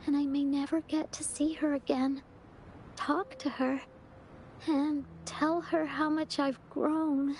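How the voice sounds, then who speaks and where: A sweet little game-character girl, high-pitched and slow to speak. She sounds gentle, earnest and wistful, with faint ambient noise behind her. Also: flat delivery. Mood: wistful, sad. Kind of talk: video game voice acting.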